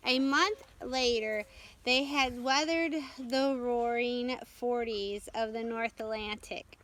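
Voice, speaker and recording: High-voiced woman reading aloud slowly. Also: steady tone, fairly bright tone. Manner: careful, halting reading with stumbles and self-corrections. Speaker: storytime reader. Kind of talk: read-aloud storybook narration.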